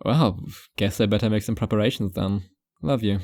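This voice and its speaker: Male actor as reclusive, paranoid first-person narrator for audio fiction. Low, dry close sound, musing and wry. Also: normal speed, darkish tone, no echo. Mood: casual, fond.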